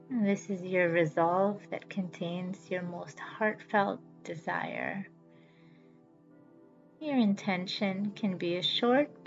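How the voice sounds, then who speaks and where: A woman leads a guided relaxation in a soothing, unhurried voice at a middling pitch. She gives each instruction slowly and leaves deliberate pauses. The sound is a little dull, with music playing behind her. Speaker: yoga nidra guide and podcast host. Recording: slightly dull audio with background music.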